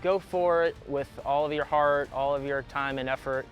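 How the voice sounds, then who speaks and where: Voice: mid-range voice, fairly even intonation, normal speed, highs cut off. A firefighter enthusiastically urging others to take up the career. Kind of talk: interview testimonial. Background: soft music.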